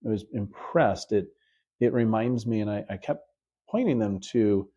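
A man's voice: on the low side, normal speed, warm tone, breathy edge, muffled recording. A man hosting a podcast and talking casually as a teacher, often repeating words as he thinks. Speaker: podcast host and high school teacher. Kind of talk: casual conversation about teaching.

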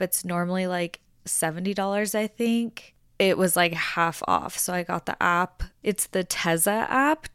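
A woman's voice: fairly low pitch, clear, resonant tone, no echo, clean studio sound. Chatty woman in her twenties hosting a podcast. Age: 20s. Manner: chatty, rambling, lots of "like". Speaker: podcast host. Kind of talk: casual solo podcast chat.

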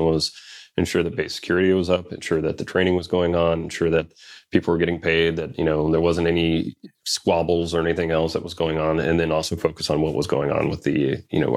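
A man's voice: deep voice, little pitch movement, a little fast. A man telling stories from his Special Forces service in a casual interview, rambling with many fillers and restarts. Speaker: guest, Special Forces veteran.

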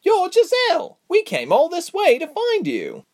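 An eager young woman adventurer from a storybook, put on by a man. The voice is raised high and moves in big swoops, expressive and warm. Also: brisk, no echo, clean studio sound, full character voice. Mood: excited.

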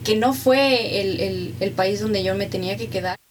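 A woman's voice: medium pitch, moderate pace, distant mic. Woman host from Ecuador, thoughtful, a bit off-mic with faint background noise. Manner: thoughtful, asks open questions. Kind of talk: casual conversation.

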